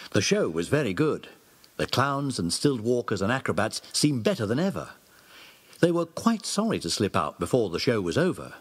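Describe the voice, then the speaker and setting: A man narrating a children's audio drama in a measured, expressive storybook style. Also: medium pitch, breathy edge.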